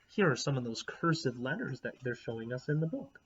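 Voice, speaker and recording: Husky guy reading a kids book out loud, voice up and down a lot, kinda muffled recording. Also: mid-range voice, fairly quick. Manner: animated, explanatory. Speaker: read-aloud narrator, teacher. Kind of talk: expressive children's storybook reading with asides. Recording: dull, lo-fi recording.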